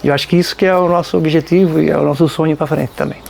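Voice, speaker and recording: Folk band musician, male, strong Nova Trento accent, somewhat high voice, reflective, leans on tag questions. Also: steady tone, vocal fry. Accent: Nova Trento, Santa Catarina. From Nova Trento, Santa Catarina, Brazil. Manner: reflective, unhurried, frequent "né?" tags. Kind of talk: documentary interview.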